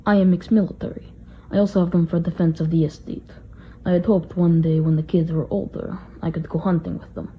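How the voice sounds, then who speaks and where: A man's voice: on the high side, fairly even intonation, brisk, husky. A man playing a grave, stoic ex-military Russian butler, speaking tersely and formally in an amateur radio play on a muffled microphone. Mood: guarded. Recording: muffled, dull microphone sound.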